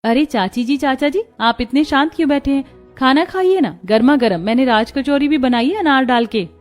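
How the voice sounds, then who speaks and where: A woman's voice: high-pitched, animated, moderate pace, soft background music. A woman voicing a humble, devoted young village daughter-in-law in a cartoon story, respectful, earnest and eager to please. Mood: earnest, dutiful. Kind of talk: Hindi moral cartoon story dialogue.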